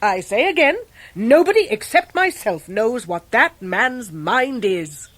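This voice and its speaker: An actress playing a brusque, eccentric older English great-aunt in a radio drama, blunt, emphatic and fiercely protective. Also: female voice, a little high, big pitch swings, a little slow, a bit bright, exaggerated voice. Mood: indignant, fond. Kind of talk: 1990s British radio drama acting.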